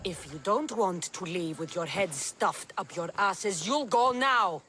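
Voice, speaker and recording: A woman's voice: fairly low pitch, animated, a little slow, pushed and bright, soft background music. A woman playing a tough, sardonic Greek mercenary in a video game, blunt and commanding with a dry edge. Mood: firm. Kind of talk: video game cutscene acting.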